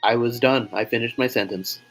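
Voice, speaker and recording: A man's voice: medium pitch, steady tone, fairly quick, a bit bright, rough recording. A man co-hosting a livestream in casual banter. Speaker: livestream co-host. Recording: music playing behind the voice.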